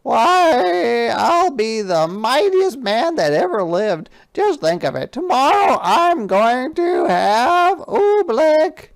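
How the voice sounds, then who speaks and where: A woman voicing a vain, bossy storybook king with an imperious, emphatic and grandly excitable manner. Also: high voice, takes it slowly, hardly any pauses, no echo, voice pushed up, heavily acted. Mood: boastful, excited.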